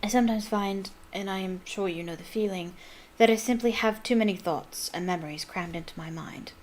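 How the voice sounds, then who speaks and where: Casting: gentle elderly wizard, soft-spoken and unhurried, as a woman narrator puts him on. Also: medium pitch, close to an everyday voice. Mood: calm. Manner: quiet, gentle, measured. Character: calm, wise old male wizard.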